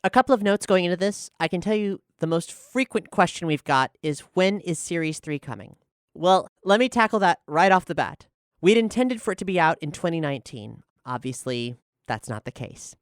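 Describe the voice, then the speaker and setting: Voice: mid-range voice, expressive, normal speed, clean tone, no echo, studio quality. An enthusiastic, articulate podcast host talking conversationally through a question-and-answer show. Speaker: showrunner and host.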